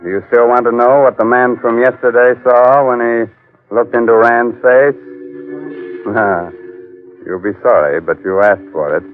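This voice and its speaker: A man's voice: mid-range voice, measured pace. A man hosting a 1940s radio horror show from a script, theatrical and teasing. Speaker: radio horror show host and sponsor announcer. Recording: tinny, band-limited old radio broadcast. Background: music.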